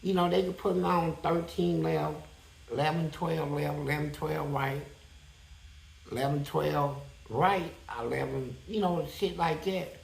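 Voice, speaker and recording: A man with a high-pitched voice telling a prison story in a blunt, profane, animated way as an interview guest. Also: unhurried, creaky, reverberant, low-quality recording.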